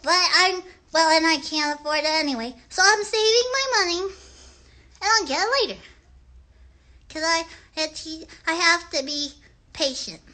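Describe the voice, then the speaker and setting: A woman voicing an excitable, earnest little kid puppet on a children's livestream show, chatty and rambling, stumbling over big words. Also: very high-pitched, moderate pace, full character voice.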